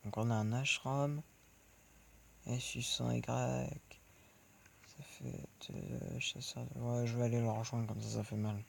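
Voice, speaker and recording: A man's voice: mid-range voice, level tone, slow, vocal fry, a little hiss or hum. A man giving rambling let's play commentary over his tank game, chatting to his viewers between shots. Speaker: gaming video creator.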